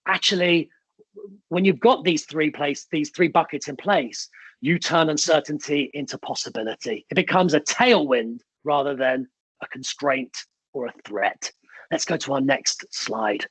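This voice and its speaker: A man's voice: fairly high pitch, unhurried, a bit bright, slightly rough, dry recording, rough recording. A man who grew up in the UK, with Italian as his first language, giving a motivational keynote in an emphatic storytelling manner with short punchy phrases. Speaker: keynote speaker.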